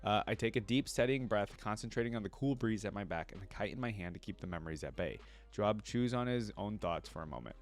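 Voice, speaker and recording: Man, lowish voice, expressive story reading, content creator, casual delivery. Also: fast, few pauses, soft background music. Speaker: content creator reacting to game lore. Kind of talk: expressive read-aloud of a first-person story with casual reactions.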